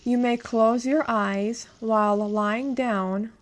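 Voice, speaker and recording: A woman narrating a guided meditation in a calm, measured, instructional way. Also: fairly high pitch.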